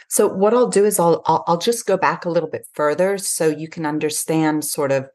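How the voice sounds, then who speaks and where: A woman raised in Switzerland and England, an effusive podcast guest talking about her life. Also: low voice. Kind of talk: podcast interview.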